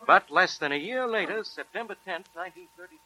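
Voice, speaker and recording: High, bright-voiced case narrator. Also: male voice, lively intonation, quick pace, exaggerated voice, poor audio. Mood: grave, factual. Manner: formal, factual. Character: formal male officer reporting a case. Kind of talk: 1940s radio scripted narration.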